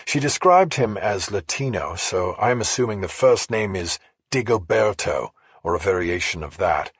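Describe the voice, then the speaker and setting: Man voicing a husky, measured woman journalist. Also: deep voice, lively intonation, no room sound. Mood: matter-of-fact. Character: Emily, measured, matter-of-fact female investigative journalist. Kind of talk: audiobook character dialogue.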